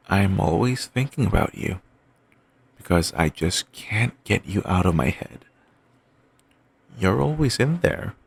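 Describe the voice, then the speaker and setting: A man playing an obsessive, doting yandere boyfriend in an audio roleplay, talking softly and rambling on with endearments. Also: deep voice, gravelly, husky, full character voice. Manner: soft, murmuring, slow and rambling endearments.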